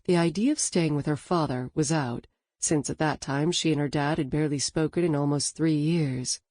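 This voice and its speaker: A woman reading a novel as an audiobook narrator in a calm, even storytelling manner. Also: deep voice, normal speed, dry recording, clean studio sound. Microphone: studio microphone.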